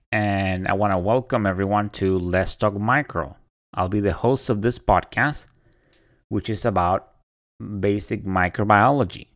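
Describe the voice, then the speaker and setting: Podcast host from Puerto Rico, low voice. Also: male voice. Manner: conversational, many "you know" fillers and restarts. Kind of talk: casual solo podcast introduction.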